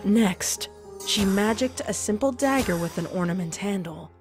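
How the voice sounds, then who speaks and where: A woman's voice: mid-range voice, animated, moderate pace. A woman reading scripted story narration as the narrator of a dramatic tale. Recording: clear music behind the voice.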